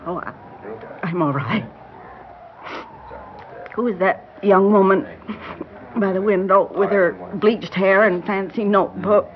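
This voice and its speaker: An actress playing a bewildered, grieving elderly grandmother in a radio drama, halting and repeating herself in a quavering voice. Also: medium pitch, natural voice. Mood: weary sorrow. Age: older. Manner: halting, repeating words in distress. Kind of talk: old-time radio drama acting. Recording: dull, hissy classic radio recording.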